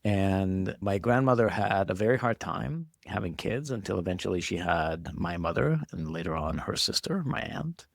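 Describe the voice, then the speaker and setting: Low, creaky-voiced man. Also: expressive, moderate pace, few pauses, dry recording, clean studio sound. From Israel. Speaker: podcast guest, entrepreneur. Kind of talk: reflective conversational interview answers.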